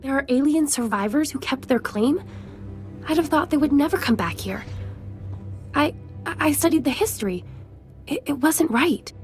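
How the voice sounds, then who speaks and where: Earnest, high-voiced young settler. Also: female voice, lively intonation, normal speed, pauses often, darkish tone, soft background music. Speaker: voice actor in a video game. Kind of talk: scripted game cutscene dialogue.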